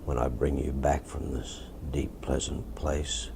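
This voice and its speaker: A softly spoken Australian man with a deep, gravelly voice, speaking as a hypnotist in a measured, soothing, suggestive manner. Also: flat delivery, background noise.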